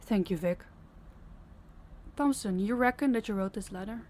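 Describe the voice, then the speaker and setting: A woman's voice: medium pitch, moderate pace, darkish tone, lightly acted. Woman acting a stern, commanding female boss. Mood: stern, probing. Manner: commanding, blunt, swearing. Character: stern, fed-up female crime boss scolding her subordinate. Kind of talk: audio roleplay acting.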